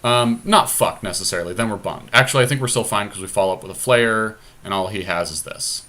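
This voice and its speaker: A man's voice: fairly low pitch, fast, bright, forceful tone. A man casually thinking aloud as he co-hosts a card game commentary, in an informal, mildly profane way. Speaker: podcast co-host playing a card game. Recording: amateur capture with faint background noise.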